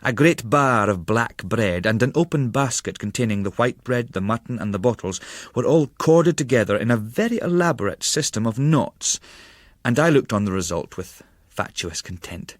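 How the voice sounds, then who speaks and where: A man narrating a literary audiobook in a measured, wry storytelling manner with formal diction. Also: medium pitch, lively intonation, no room sound, clean studio sound.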